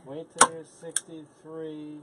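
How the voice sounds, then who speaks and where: A man's voice: on the high side, monotone, slow pace. A man in his sixties helping on a casual livestream, reading viewer comments aloud and adding brief, plainspoken remarks. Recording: faint room noise.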